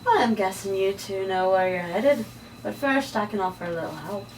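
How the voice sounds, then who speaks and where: A woman's voice: medium pitch, normal speed, close to an everyday voice. A woman reading composed, commanding female mystics in an amateur drama table read. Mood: composed, wry. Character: composed female magic shop keeper, dryly courteous; haughty sinister female jazz singer sorceress. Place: room, read aloud at a table. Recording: distant room mic, faint noise.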